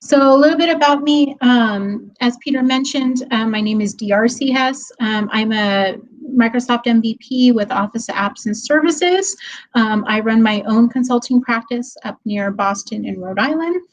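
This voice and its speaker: Woman, fairly high pitch, friendly, chatty, frequent ums, webinar presentation, remote call. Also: moderate pace, low-quality recording. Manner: friendly, laughs easily, many ums. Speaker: guest speaker. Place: office hallway.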